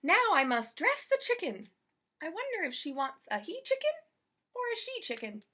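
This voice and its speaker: A woman's voice: extremely high pitch, wildly swooping pitch, moderate pace, bright, forceful tone, pitched above the performer's own voice, exaggerated voice. A woman voicing a cheerful, literal-minded storybook housemaid who is earnest and eager to please. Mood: pleased, musing. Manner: earnest, guileless, chirpy. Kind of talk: children's storybook character voice.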